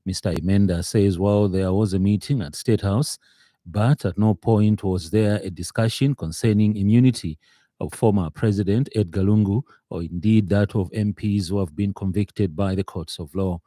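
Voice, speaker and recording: Low, mellow Zambian male newsreader. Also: moderate pace, dry recording, studio quality. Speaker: radio news reader. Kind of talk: scripted news bulletin reading.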